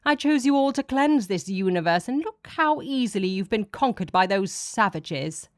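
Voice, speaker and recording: A woman's voice: medium pitch, expressive, moderate pace, no echo, lightly acted. Lady doing a menacing alien god guy for an audiobook, sneering, bossy, full of contempt, dry close sound. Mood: menacing disgust. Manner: sneering, commanding, disdainful. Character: cloaked false alien god, menacing, contemptuous male tyrant. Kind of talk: dramatic audiobook character voice.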